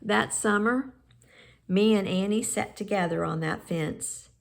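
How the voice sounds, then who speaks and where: A woman reading a children's picture book aloud in measured, expressive phrases with pauses. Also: medium pitch, creaky. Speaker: storybook reader.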